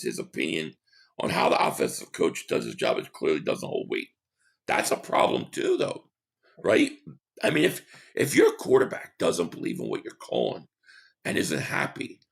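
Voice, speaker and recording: A man hosting a sports talk livestream, hoarse and losing his voice, reading chat comments and giving rambling, opinionated takes. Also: low-pitched. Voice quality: hoarse, gravelly. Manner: rambling, opinionated, repeats himself.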